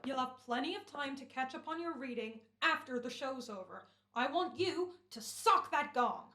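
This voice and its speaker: A woman acts an authoritative show producer with a high voice. The orders are brisk and firm, in slangy old-time radio style. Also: expressive, sharp tone, slight background noise. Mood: indignant. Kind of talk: old-time American radio comedy-horror acting.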